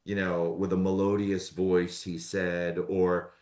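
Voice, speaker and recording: A man with a fairly low voice answers interview questions in a loose, easygoing way. He pauses and fills gaps with "like" and "you know" as he thinks aloud, and the room gives his voice a noticeable echo. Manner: relaxed, rambling with many fillers. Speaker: audiobook narrator.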